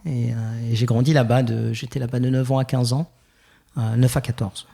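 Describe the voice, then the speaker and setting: Man, Swiss French accent, interview guest, dark tone, reflective, halting. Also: mid-range voice, little pitch movement, gravelly. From Geneva, Switzerland. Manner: thoughtful, hesitant, many fillers and restarts. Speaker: podcast guest.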